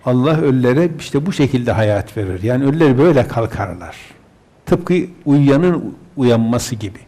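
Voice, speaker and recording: A man's voice: mid-range voice, animated, moderate pace, mellow. A man, a religious scholar, reciting scripture verses and then explaining them in plain terms.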